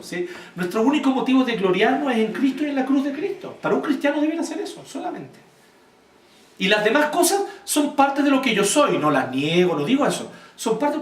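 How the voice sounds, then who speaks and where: Lively high-voiced Chilean man teaching. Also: a little hiss or hum. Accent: Chilean Spanish. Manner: animated, questions the audience, blunt. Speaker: church speaker teaching volunteers. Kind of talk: informal church teaching talk with audience questions. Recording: echoey room, voice from far off.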